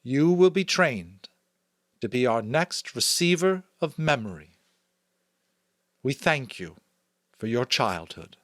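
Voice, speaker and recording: A man's voice: mid-range voice, very animated, takes it slowly, long pauses, a bit bright, dry recording, studio quality. An American man voicing a solemn, commanding female chief elder in a storybook, with ceremonial, emphatic oratory.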